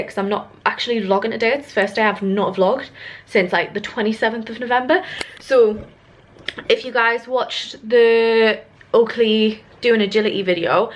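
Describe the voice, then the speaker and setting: Young woman, British accent, casual vlog chat, informal, rambling, medium pitch. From United Kingdom. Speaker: vlogger.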